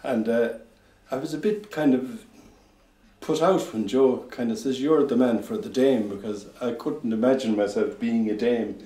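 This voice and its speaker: An Irish man in his sixties reminisces in a mellow voice of middling pitch. The sound is roomy, with the mic a little way off. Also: moderate pace. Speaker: former pantomime dame. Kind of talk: interview reminiscence. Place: home kitchen. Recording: roomy echo, slightly distant mic.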